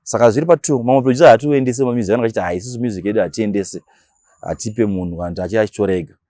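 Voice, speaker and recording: Very fast-talking Zimbabwean man. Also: fairly low pitch, dark tone, no room sound, clean studio sound. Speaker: radio DJ, interview guest. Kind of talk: casual interview talk.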